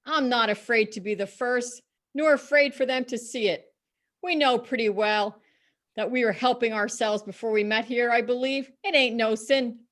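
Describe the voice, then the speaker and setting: A woman's voice: on the high side, a bit bright, lightly acted. A woman playing a bold, defiant Victorian charwoman in a dramatic story reading, speaking with scornful emphasis. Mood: brazen. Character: bold, defiant, unrepentant Victorian charwoman selling stolen goods.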